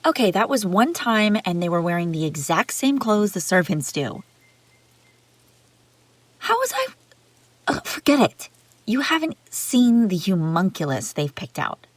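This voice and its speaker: A woman's voice: mid-range voice, very animated, measured pace, long pauses, no room sound. A woman playing a haughty, bratty young runaway princess in a roleplay audio drama, sarcastic and bickering with the listener. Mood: irritated, defensive.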